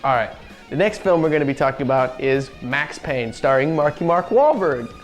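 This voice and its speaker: A man's voice: mid-range voice, animated, moderate pace, soft background music. A young man hosting a casual video review show, reading out facts and riffing on them offhandedly. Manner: chatty, offhand, reads facts then riffs. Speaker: podcast host.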